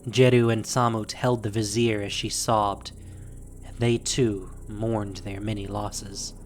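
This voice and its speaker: A man's voice: fairly low pitch, flat delivery. Man narrating dramatically over faint music. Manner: measured, dramatic storytelling. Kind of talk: dramatic scripted fantasy narration.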